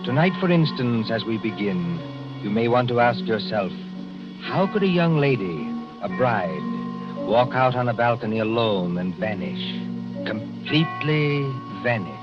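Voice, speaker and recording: A man narrating in dramatic, measured 1940s radio style as host of a drama program. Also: medium pitch, very animated. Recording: muffled 1940s radio recording. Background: music.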